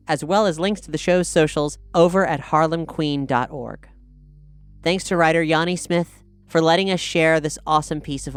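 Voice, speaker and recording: A man's voice: fairly high pitch, clear, resonant tone. A man hosting a podcast, reading a scripted outro and recommendation straight to listeners. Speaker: podcast host.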